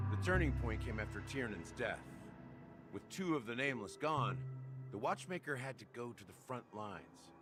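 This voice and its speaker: A low male voice from an actor plays a knowing revolutionary in a video game. He narrates in a composed, theatrical way, measured and deliberate. Loud music plays underneath. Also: natural voice. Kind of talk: dramatic scripted game dialogue.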